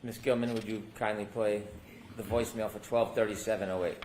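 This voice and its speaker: A man's voice: lowish voice, steady tone, brisk. Guy lawyer doing formal polite questioning in court, roomy echo, slight noise. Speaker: prosecuting lawyer examining a witness. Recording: echoey courtroom, faint noise.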